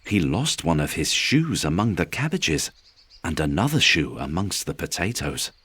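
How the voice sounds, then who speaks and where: Deep, husky man reading a bedtime story. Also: moderate pace, no echo. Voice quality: soft, husky. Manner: calm, gentle, expressive storytelling. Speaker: narrator of children's bedtime stories. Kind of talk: scripted storybook narration, bedtime read-aloud.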